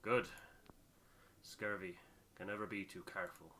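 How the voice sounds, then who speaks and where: Game role: stern, probing sea captain played by a male host, very low, forceful voice. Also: steady tone, normal speed, a little hiss or hum. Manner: curt, interrogating.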